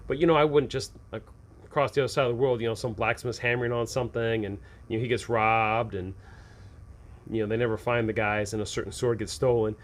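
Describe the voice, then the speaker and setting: A man with a mid-pitched voice speaks as the host of an advice show for game masters. His delivery is casual and unscripted, like chatting to a peer. He tends to pose rhetorical questions and answer them himself. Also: steady tone, fast.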